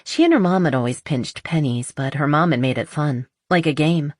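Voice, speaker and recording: A woman's voice: low voice, normal speed, a little mellow, no room sound, clean studio sound. A woman reading a romance novel as scripted audiobook narration.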